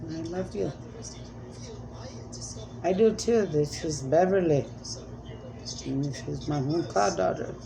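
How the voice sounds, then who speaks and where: A woman's voice: low voice, slow, gravelly, pauses often, a crowd in the background, low-quality recording. A woman speaking as a self-described spirit medium in a rambling, emphatic monologue, pleading and repeating commands.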